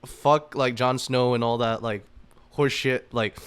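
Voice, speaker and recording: A man's voice: medium pitch, fairly even intonation, unhurried, a bit bright, clean tone. An American man in his early twenties chatting casually as a podcast guest, informal and sweary, often checking in with the listener. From Massachusetts, United States. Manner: informal, swearing, frequent rhetorical "right?". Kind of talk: casual chat.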